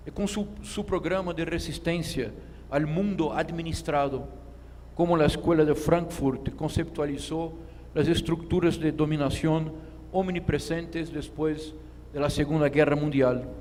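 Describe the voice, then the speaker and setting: Male conference speaker, Brazilian Portuguese native with that accent, higher-set voice, measured formal reading in a long-reverb hall. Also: a little mellow. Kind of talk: formal lecture read from a text. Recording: echoey hall with clear background noise.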